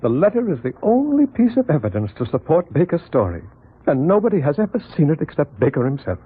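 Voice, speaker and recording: Doubtful lawyer, husky, swinging intonation, measured, vintage radio drama, muffled narrow sound. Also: male voice, on the high side, huge pitch swings, no room sound, full character voice. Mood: doubtful, grave. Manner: skeptical, measured. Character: sober, doubtful defense lawyer. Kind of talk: 1940s radio drama acting.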